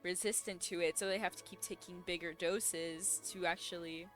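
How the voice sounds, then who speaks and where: A young woman giving casual, slangy, expressive reaction commentary as she plays a game. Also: fairly low pitch, moderate pace, faint music behind. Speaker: game streamer.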